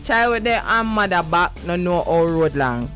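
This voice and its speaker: A Jamaican woman co-hosting a morning radio show, chatty and quick to laugh as she reads and discusses a proverb. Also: lowish voice, animated, a bit bright, faint music behind. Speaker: radio co-host. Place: radio studio.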